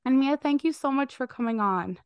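A woman's voice: high voice, lively intonation, brisk, clean tone, no room sound. A young adult woman hosting a podcast interview, sounding a little nasal from a recent illness, enthusiastic with frequent fillers. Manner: warm enthusiastic, many fillers. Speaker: podcast host.

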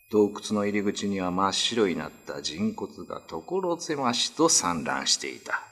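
Slow, dramatic low-voiced male narrator. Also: fairly bright tone. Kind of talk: dramatic scripted horror storytelling. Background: background music.

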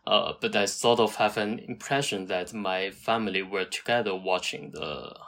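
Hesitant Hong Kong man, lowish voice. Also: normal speed, a bit bright. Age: early 30s. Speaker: podcast guest, climate scientist. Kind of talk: conversational interview answers.

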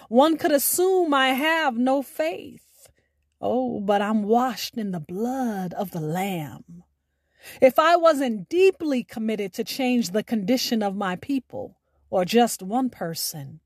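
Lady radio host doing spoken word, really slow n deliberate, dry close sound, dramatic pauses. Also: fairly high pitch, no echo. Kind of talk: scripted sign-off and spoken-word poetry.

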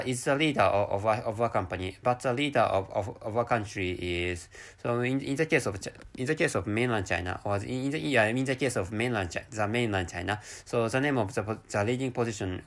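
A Japanese man speaking English with a Japanese accent as a second language, hosting a solo podcast in a halting way with many restarts and repeated words. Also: on the low side. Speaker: podcast host.

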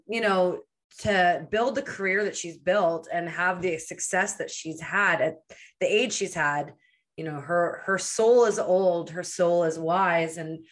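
A woman in her thirties with a slightly low voice. She hosts a podcast and interviews in a casual, effusive way, building her points in short, informal phrases. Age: 30s. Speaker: podcast host.

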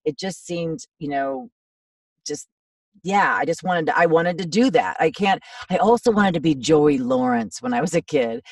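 A woman in her fifties with a low, raspy, gravelly voice talks loosely and at length, laughing at herself as she goes. She sounds a little back from the microphone in a dry, dead room. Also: expressive, moderate pace, no room sound, far from the mic. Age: 50s. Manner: chatty, rambling, self-deprecating. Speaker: voiceover actor guest. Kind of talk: casual podcast interview.

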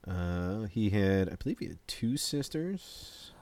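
A man's voice: on the low side, big pitch swings, takes it slowly, darkish tone, no echo. A young man co-hosting a casual beer podcast, laid-back and jokey, laughing often. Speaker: podcast co-host. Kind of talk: casual chat.

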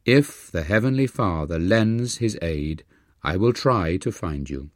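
A man playing a grave, prophetic yogi teacher in an audiobook, speaking solemnly and deliberately. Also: sits low, expressive, dry recording, studio quality. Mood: tender promise.

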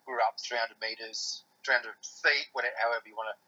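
A man's voice: medium pitch, fairly even intonation, quick pace, bright, forceful tone, muffled recording. An Australian man guesting on a podcast, chatting casually and rambling with lots of fillers and restarts. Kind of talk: casual chat.

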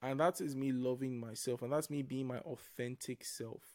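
A man's voice: mid-range voice, steady tone, normal speed, a little mellow, no room sound, clean studio sound. A young man from Nigeria with a Nigerian accent, co-hosting a casual faith-based podcast, reflective and often restarting his words. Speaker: podcast co-host.

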